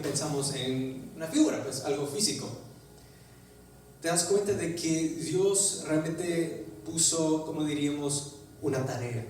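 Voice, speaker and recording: Preacher with an English accent, echoey hall. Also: male voice, fairly high pitch, a little mellow. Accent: English-speaking accent in Spanish. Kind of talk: sermon. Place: church sanctuary. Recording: echoey church hall, distant mic, music behind.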